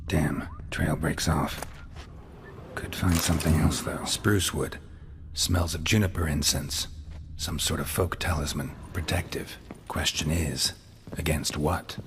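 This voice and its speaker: A world-weary fantasy game monster hunter in an extremely low, murmuring voice. He speaks in clipped, deadpan observations, like someone coolly working through clues. Some light ambient noise sits behind him. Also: a little slow. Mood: pensive, muttering. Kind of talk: video game voice acting.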